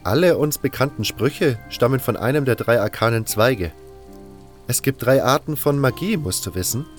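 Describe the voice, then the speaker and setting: A man's voice: medium pitch, animated, normal speed, soft background music, close to an everyday voice. A man voicing an eager, bookish young fire mage who lectures earnestly in a wordy way, in a fantasy audio drama. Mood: eager lecturing.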